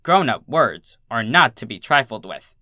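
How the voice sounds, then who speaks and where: A narrator reading fan fiction aloud in an expressive storytelling style. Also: mid-range voice, unhurried, no echo, studio quality.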